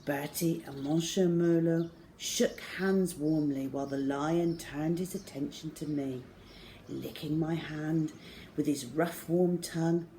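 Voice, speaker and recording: A middle-aged woman reading a children's novel aloud to schoolchildren in a measured, deliberate way. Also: low-pitched, fairly even intonation, warm tone, a little hiss or hum. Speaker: storyteller for schoolchildren. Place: small plain room indoors.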